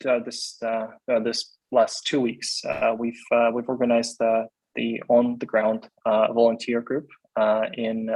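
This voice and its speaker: A young man with a Ukrainian accent speaks over a video call in a flat, level tone that barely rises or falls. He stops and restarts often, filling the gaps with hesitant sounds. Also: medium pitch, low-quality recording. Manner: halting, many fillers and restarts. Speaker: guest speaker.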